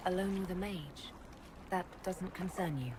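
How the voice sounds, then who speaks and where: Lady acting a caring mage leader in a video game, lowish voice, measured and sincere, bit of background noise. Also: natural voice. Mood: questioning, doubtful. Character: composed, caring female mage leader of fantasy order.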